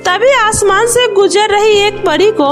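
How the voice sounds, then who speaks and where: A woman's voice: extremely high pitch, unhurried, faint music behind. A woman reading a scripted children's story as narrator.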